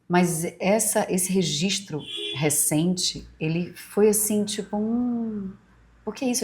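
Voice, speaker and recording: A woman in her forties speaks in a low, unhurried register, her Bahian Brazilian accent softened by years in Rio. She hosts her podcast as a reflective monologue, wandering through memories with many asides and fillers, as if confiding in close listeners. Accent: Brazilian Portuguese, Bahian softened by years in Rio. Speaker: podcast host.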